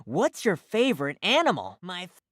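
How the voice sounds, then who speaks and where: A woman's voice: fairly low pitch, wildly swooping pitch, normal speed, dry recording, clean studio sound. A woman voicing cheerful, inquisitive puppy characters in an educational preschool cartoon, with an exaggerated sing-song teaching delivery. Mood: bright, inquisitive. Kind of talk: scripted children's educational cartoon dialogue.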